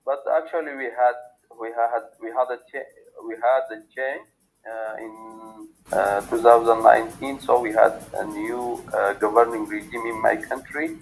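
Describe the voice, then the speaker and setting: A man in his thirties, an Arabic speaker, answers academic interview questions in English. He talks haltingly, often restarting his sentences and filling gaps with fillers, in a voice of ordinary pitch. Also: flat delivery, gravelly, soft background music, poor audio. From Sudan. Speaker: PhD student interviewee.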